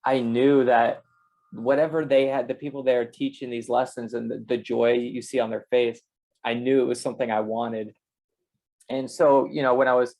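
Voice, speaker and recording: A male interview guest shares his personal story in a casual, conversational way. His pitch stays fairly flat and even throughout. He sounds like someone talking informally about his own life. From Canada and the United States.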